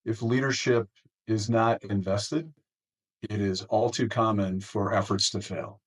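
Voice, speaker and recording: A man hosts an interview in a fairly low voice. He is deliberate and reflective, laying out his thoughts conversationally and putting questions to his guest. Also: husky. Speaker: interview host.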